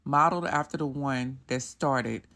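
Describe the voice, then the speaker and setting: A woman narrates a scripted faith story with a low, unhurried voice. Her reading is measured and reverent, lingering on each phrase. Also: fairly bright tone.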